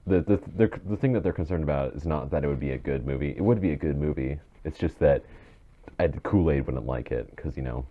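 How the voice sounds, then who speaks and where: A man's voice: rumbling low, brisk. A man hosting a podcast in casual chat about film and TV, rambling and often restarting his phrases. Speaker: podcast host. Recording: dull muffled mic with faint noise.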